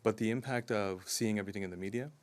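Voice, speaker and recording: A man speaking as a community advocate at a news conference, earnest and steady, with frequent ums and uhs. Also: lowish voice, quick pace. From Canada. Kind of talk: press conference statement.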